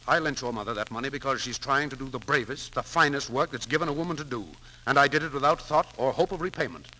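A man playing a gruff, blustering but principled businessman in 1940s radio drama, speaking bluntly and emphatically. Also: medium pitch, fast talker, sharp tone, poor audio. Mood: indignant, angry. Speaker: actor. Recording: 1940s radio broadcast recording.

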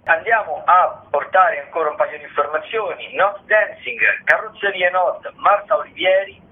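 A man giving an informal, wordy radio rundown of theatre listings, halting as he searches for words. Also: sits high. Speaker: theatre radio show contributor. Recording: tinny phone line with noise.

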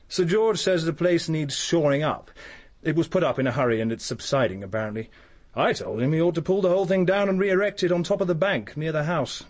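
A man's voice: fairly high pitch, a little mellow. A man playing a flippant, snobbish young architect in a radio drama, offhand and nonchalant. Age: young adult.

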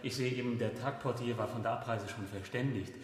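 An actor playing an obsequious, watchful hotel night porter in a German radio play, with formal, servile manners. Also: male voice, mid-range voice, fairly even intonation, fairly quick, natural voice. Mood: deferential. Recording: very echoey, reverberant hall.

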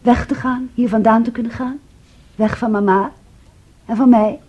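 A possessive, reproachful young woman in a Dutch radio drama. Her voice is somewhat high yet dark and breathy, and she talks intimately, with probing, pointed questions and remarks. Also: steady tone, normal speed. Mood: quietly reproachful. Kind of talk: Dutch radio play acting.

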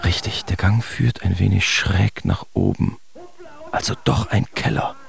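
An actor playing a German artist who tells of his absurd nightmare in a wry, uneasy way, as theatrical first-person radio drama narration with a gravelly voice. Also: low-pitched, steady tone, measured pace, exaggerated voice. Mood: uneasy, puzzled. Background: music behind the voice.

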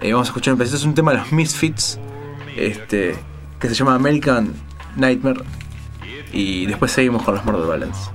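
A man's voice: mid-range voice, animated, fairly quick. Rioplatense man talking music over a backing track. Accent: Rioplatense Spanish. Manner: conversational, digressive, thinking aloud as he explains. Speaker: radio music show presenter. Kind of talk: casual music commentary.

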